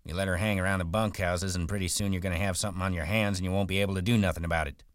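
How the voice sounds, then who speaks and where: Casting: male narrator as scornful tough ranch worker, low-pitched, taunting, rough-spoken, storybook drama. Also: steady tone, very fast, no echo, studio quality, lightly acted. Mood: scolding. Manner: taunting rough rural speech. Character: jeering tough ranch hand, fearless and scornful.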